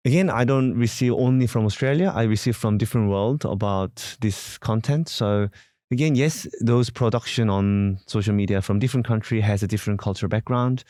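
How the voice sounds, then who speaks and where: Korean-accented male priest, interview, lowish voice, halting delivery, dry sound. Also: warm tone, no echo. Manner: halting, searching for words with frequent fillers. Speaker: parish priest.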